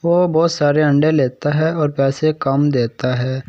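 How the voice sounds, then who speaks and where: A man's voice: on the high side, steady tone, measured pace, dry recording. A man reading scripted narration for a cartoon story. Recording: dry, slightly dull sound.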